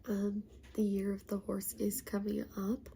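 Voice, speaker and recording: A woman with a cold and a stuffy, congested voice, talking softly and hesitantly in a half-whisper. She pauses on many ums, sounds self-conscious about her voice, and gives a casual spiritual talk as a tarot reader. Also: medium pitch, monotone, creaky, slight background noise.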